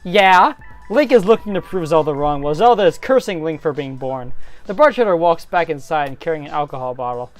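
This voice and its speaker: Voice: mid-range voice, animated, fast, a little mellow, soft background music. A narrator giving a dramatic reading of a comic fantasy story, sometimes stumbling and rereading phrases.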